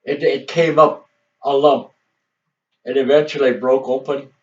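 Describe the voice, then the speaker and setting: A man's voice: mid-range voice, little pitch movement, a little slow, a little mellow. Older man, Assiniboine first language, rambling grandfather storyteller, chuckles, distant dull home recording. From Montana, US. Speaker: grandfather telling family history. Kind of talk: casual storytelling.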